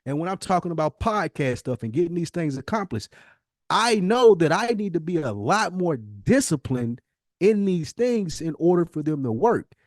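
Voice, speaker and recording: An American man of about forty speaks in a casual podcast style. His voice sits a little higher than average for a man. He is reflective yet emphatic, repeating phrases to drive points home, and the sound is dry with no room on it. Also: sing-song, normal speed, dry recording. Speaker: podcast host. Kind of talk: casual conversational podcast talk.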